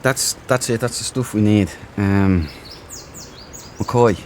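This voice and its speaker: Low-voiced man doing casual game commentary. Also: slow, a little mellow, slight background noise. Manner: jokey, cheeky, thinking aloud. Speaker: let's play host.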